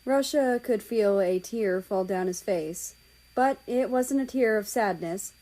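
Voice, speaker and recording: A woman's voice: medium pitch, unhurried, mellow, poor audio. A woman narrating a written story aloud, reading out the prose and the dialogue tags. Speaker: fan fiction reader.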